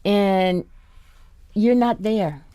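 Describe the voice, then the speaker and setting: A woman, an actor, speaking gravely and haltingly as she reflects in a panel discussion. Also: medium pitch, warm tone, no echo.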